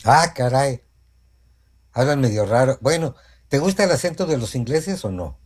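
A man hosting a morning radio show in a studio, chatty, spelling out phone numbers slowly. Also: mid-range voice, expressive, darkish tone, husky. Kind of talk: morning radio talk and sponsor reads.

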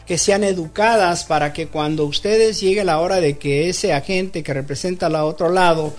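A man's voice: fairly high pitch, normal speed, a little mellow, faint music behind. A man from Mexico, a real estate guest on a radio show, explaining the process plainly and joking now and then.

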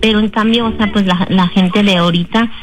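A woman's voice: medium pitch, fairly even intonation, brisk, soft background music. Talkative woman caller in her fifties. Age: 50s. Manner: talkative, exclamatory.

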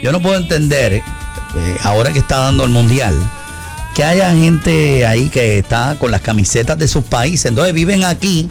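A man's voice: medium pitch, lively intonation, moderate pace, creaky, soft background music. A man from Puerto Rico hosting a talk show, opinionated and emphatic, repeating himself and addressing the audience directly. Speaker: radio show host.

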